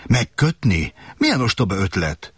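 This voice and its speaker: Small curious boy prince done by a man, high wondering voice, husky edge, dry studio, faint music. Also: very animated, no room sound. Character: small gentle boy prince from a tiny planet.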